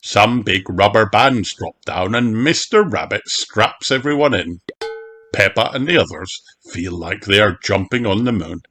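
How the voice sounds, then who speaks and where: A man narrating a children's storybook aloud in an expressive, deliberate way for small children. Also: lowish voice. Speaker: audiobook narrator.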